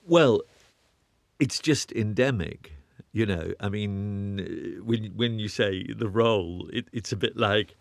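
A man's voice: fairly low pitch, slow, vocal fry, dry recording. A British man giving an interview guest's reflective answers, often restarting and repeating words as he searches for a thought.

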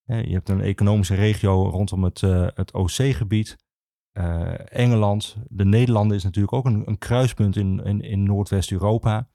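A man explaining history as an interview guest, speaking haltingly with many filled pauses. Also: fairly low pitch, steady tone, creaky, dark tone, no echo, clean studio sound. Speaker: archivist, podcast guest.

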